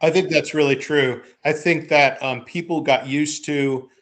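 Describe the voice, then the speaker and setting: A man's voice: a little high, fairly even intonation, a little slow, fairly bright tone. A man talking casually as a podcast host, reflective and rambling, often repeating words as he goes. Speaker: podcast host, playwright and producer. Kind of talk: casual conversational chat.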